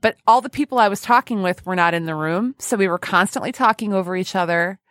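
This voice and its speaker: A woman's voice: mid-range voice, quick pace, a bit bright, no room sound, clean studio sound. A woman hosting a podcast in a casual, chatty, self-deprecating and giggly way. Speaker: podcast host.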